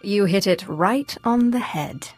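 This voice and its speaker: A woman's voice: medium pitch, lively intonation, unhurried, darkish tone, husky, dry recording, soft background music. A woman playing a self-assured, sardonic Sith apprentice in a video game, speaking in a cool, clipped way. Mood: cool, wry. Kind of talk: scripted video game dialogue.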